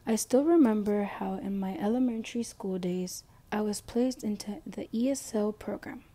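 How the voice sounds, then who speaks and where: A young woman with a Mexican background reading a prepared self-introduction as an education student, slowly and carefully. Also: medium pitch, mellow. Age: young adult. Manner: slow, careful reading, switching to Spanish for a passage.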